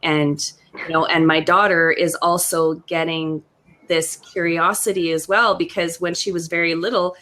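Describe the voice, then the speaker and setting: Woman, Western Canadian accent, thirties, lowish pitch, chatty, enthusiastic, video call. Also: flat delivery, normal speed, a bit bright. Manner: chatty, enthusiastic, frequent fillers. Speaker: co-host. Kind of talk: casual video-call chat.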